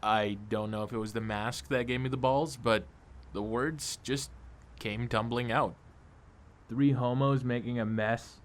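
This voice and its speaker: A man's voice: mid-range voice, fairly even intonation, measured pace, lightly acted. A man playing an earnest, wry teenage comic fan turned amateur superhero, narrating a comic dub in a confessional way. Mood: defiant, nervous. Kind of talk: comic dub, first-person narration and dialogue.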